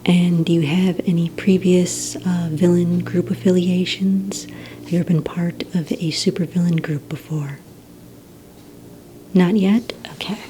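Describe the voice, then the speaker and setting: A woman playing a polite, organized receptionist at a supervillain recruitment center in a soft-spoken, breathy ASMR roleplay, with gentle, hesitant, courteous questioning. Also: lowish voice, steady tone, a little slow, slight background noise, lightly acted, low-quality recording. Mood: gentle, businesslike. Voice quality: soft, breathy, near-whisper.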